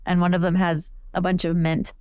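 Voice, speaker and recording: A woman's voice: fairly low pitch, flat delivery, a little fast, darkish tone, clear, resonant tone. A woman playing a tabletop game, chatting casually and describing her character's actions.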